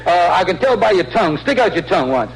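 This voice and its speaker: A man's voice: sits high, big pitch swings, fast. A man acting a sly, bluffing, folksy husband and lodge leader in an old radio comedy, talking in a hesitant, stalling way. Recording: noisy old radio broadcast recording.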